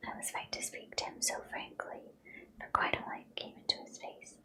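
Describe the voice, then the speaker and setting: A woman reading a classic novel aloud in a steady whisper. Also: normal speed. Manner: whispered throughout. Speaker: reader.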